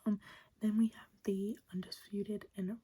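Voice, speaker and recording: Brief: young woman, soft ASMR whisper, very breathy, mellow tone, chatty and eager. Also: medium pitch, flat delivery, unhurried. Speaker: wrestling recap host. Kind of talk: ASMR whispered show recap.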